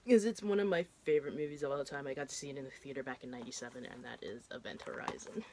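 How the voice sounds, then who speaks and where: A woman's voice: a bass voice, very fast, mellow. A woman in her thirties, stuffed up and congested, chatting casually and rambling a bit as she talks through what she has watched, with the odd casual swear. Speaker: horror film vlogger. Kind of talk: casual chat.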